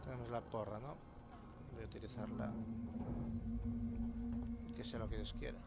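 Gravelly Spanish gamer over loud game noise. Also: male voice, fairly even intonation, moderate pace. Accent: Spain. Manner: colloquial, sweary, muttering asides. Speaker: gamer hosting a video game series. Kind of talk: casual gameplay commentary. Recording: loud game ambience behind voice. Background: video game sound effects and rumbling ambience.

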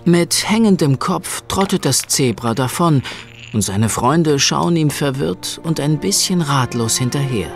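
A man's voice: fairly high pitch, animated, measured pace, creaky, soft background music. A man narrating a scripted children's audio play as its storyteller.

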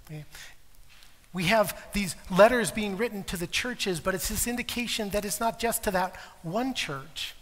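A middle-aged man preaches from the front of a large church sanctuary, his voice pitched high for a man. He talks in a relaxed, conversational way, checking in with his congregation every few phrases. His voice rings with the long reverb of the hall, picked up on a lapel mic. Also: moderate pace. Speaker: pastor. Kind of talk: sermon.

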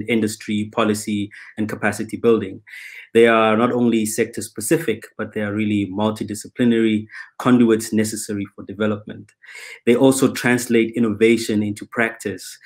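A man moderating an online panel over a video call, measured and hesitant with many ums. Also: lowish voice. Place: indoors, plain room, video call. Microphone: webcam on video call.

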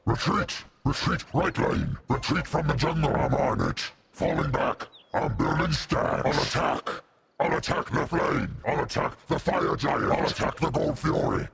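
An actor playing a menacing, hungry hellhound beast in a video game, with a gravelly growl and a slow, taunting, deliberate delivery. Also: male voice, a little high, heavy background noise. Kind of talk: video game character voice lines.

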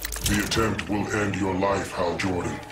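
An actor voicing a cold, arrogant machine intelligence in a video game, speaking in a deadpan, formal, menacing way. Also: male voice, deep voice, a little slow. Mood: cold menace. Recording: loud music over the speech.

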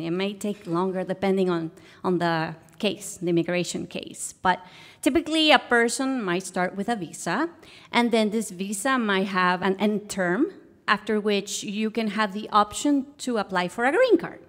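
A woman's voice: mid-range voice, lively intonation, normal speed, a bit bright. A woman from Guatemala with a Guatemalan Spanish accent, telling a personal story on stage as a guest. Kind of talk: personal story told to a live audience. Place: live stage show.

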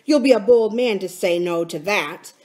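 A woman voicing an accusing, mutinous male pirate crewman in a storybook, with blunt, rough sailor talk. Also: mid-range voice, normal speed, a little mellow, close to an everyday voice. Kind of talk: dramatic storybook character reading.

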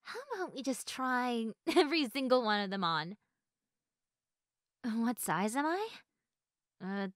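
Playful high-voiced young woman acted by a woman. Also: no room sound, studio quality. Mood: cheerful musing.

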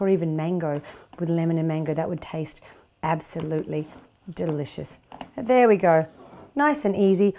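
An enthusiastic Australian woman in her thirties runs a cooking demonstration, talking straight to the camera in a chatty, gushing way. Her voice is mellow and soft-edged. She is recorded indoors in a home kitchen. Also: on the low side, slight background noise. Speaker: cooking show host.

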